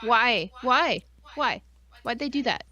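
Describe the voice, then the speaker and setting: Sarcastic, higher-voiced woman on a stream. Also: lively intonation, slow, sharp tone, lo-fi sound. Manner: sarcastic, mock-outraged ranting. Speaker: podcast co-host. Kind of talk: casual, sweary live-stream banter about films. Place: live online stream.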